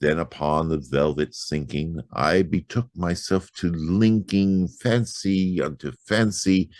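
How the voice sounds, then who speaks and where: Man, very deep voice, unhurried, theatrical poetry reading, dry close mic. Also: steady tone, no room sound. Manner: theatrical, swinging from loud outbursts to hushed whispers. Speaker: poetry reader.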